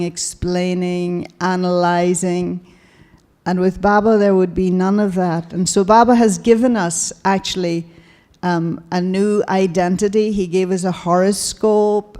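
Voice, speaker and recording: A woman moderating a spiritual panel in a meditation hall, speaking in a slow, measured and reflective way with light laughs. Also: on the low side, dark tone.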